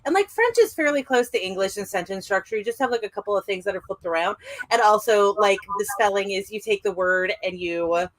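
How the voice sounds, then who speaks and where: A woman's voice: mid-range voice, animated, brisk, low-quality recording. A woman chatting casually on a podcast, with lots of "like" fillers. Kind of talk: casual chat.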